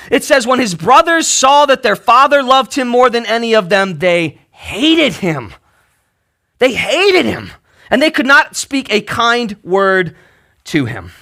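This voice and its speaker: High-pitched, animated male preacher. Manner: emphatic, colloquial storytelling with frequent "right?" check-ins. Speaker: pastor preaching. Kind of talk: casual, conversational sermon.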